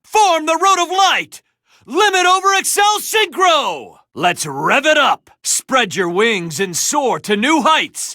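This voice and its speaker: A man's voice: very high-pitched, bright, forceful tone, no room sound, clean studio sound, heavily acted. A man voicing a resolute young duelist and gang leader in an anime game dub, earnest and emphatic in his delivery. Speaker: video game character voice actor.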